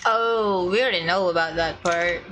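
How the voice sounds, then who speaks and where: A woman's voice: fairly low pitch, normal speed, music in the background. A woman streaming a game, reacting casually in short, hesitant remarks.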